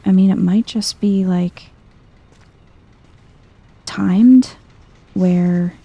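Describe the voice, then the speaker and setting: A woman softly narrates her video game as she plays, chill and thinking out loud. Her voice is mellow with a creaky, gravelly edge, and she takes her time. The ASMR-style commentary stays low-key. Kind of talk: soft-spoken ASMR game playthrough commentary.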